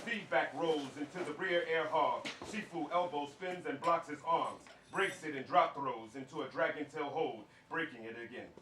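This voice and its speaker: A man's voice: on the high side, normal speed, few pauses, bright, forceful tone. A man reading scripted stage directions for fight action aloud to a room. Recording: echoey room, distant mic, clear noise.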